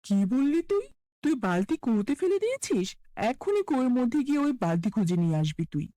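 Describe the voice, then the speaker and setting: A woman voicing a cruel, scolding middle-aged mother in a cartoon fairy tale, speaking with sharp contempt. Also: high-pitched, very animated, brisk, dry recording, clean studio sound. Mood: angry.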